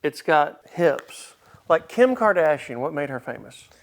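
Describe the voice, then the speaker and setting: A man's voice: on the high side, very animated, a little slow. A middle-aged man hosting a casual car vlog, ad-libbing in a blunt, joking, salty way. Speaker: vlog host and car dealer. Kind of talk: casual, ad-libbed walkaround vlog.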